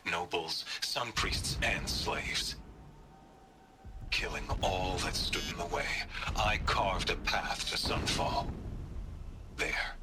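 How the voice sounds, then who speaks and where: A stern, fanatical warrior priest speaks in a low voice with solemn formality. It is a dramatic video game monologue, with clear ambient noise behind him. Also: male voice. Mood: solemn pride.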